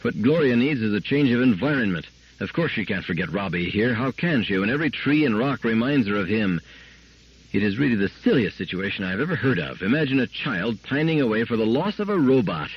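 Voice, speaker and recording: A man reading a story aloud, voicing a firm, brisk mother who speaks in a clipped, grim way. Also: mid-range voice, expressive, muffled recording, a little hiss or hum, natural voice. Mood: stern. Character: stern, firm mother, middle-aged wife.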